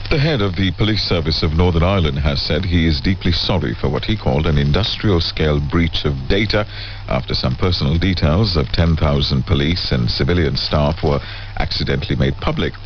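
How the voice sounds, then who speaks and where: A man reads scripted radio news in a very deep voice. The sound is dull and band-limited, with faint noise, as on a shortwave relay. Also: brisk. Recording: muffled, lightly noisy shortwave radio relay.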